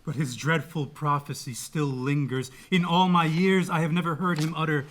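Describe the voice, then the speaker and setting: A man's voice: on the high side, fairly even intonation, normal speed, a bit bright, natural voice. A man playing a solemn, anxious chorus elder in a dramatic reading of Greek tragedy. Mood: foreboding.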